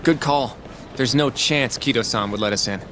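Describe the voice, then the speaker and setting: A man's voice: medium pitch, normal speed, noisy background, close to an everyday voice. A man acting in a game dub as an earnest young attorney, articulate and polite but firm. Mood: calm, curious.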